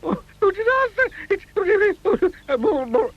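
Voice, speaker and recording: A man's voice: very high-pitched, very animated, a little slow, muffled recording, voice pushed up, exaggerated voice. A man voicing a tiny, panicky male creature who shrieks and stammers in fright. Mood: terrified.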